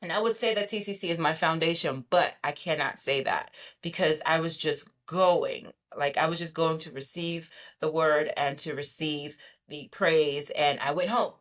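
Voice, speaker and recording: A woman, giving an animated, emphatic testimony as a podcast guest and often repeating herself for stress. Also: low-pitched, moderate pace. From Brooklyn, New York.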